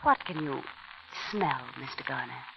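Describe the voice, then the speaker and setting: An actress playing a cool, intelligent young widow in a 1940s radio drama, speaking with composed, clipped irony. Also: female voice, a bass voice, expressive, a little slow, creaky, a little hiss or hum, exaggerated voice. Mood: guarded, composed. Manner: composed, clipped, coolly ironic. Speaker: lead actress and narrator. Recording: muffled vintage radio recording with music.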